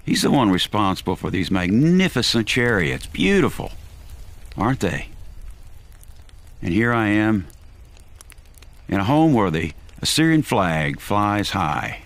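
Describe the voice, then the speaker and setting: A man's voice: on the low side, very animated, measured pace, pauses often. A man playing an arrogant, exhausted army general on the run in an amateur audio drama, commanding and self-satisfied. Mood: smug.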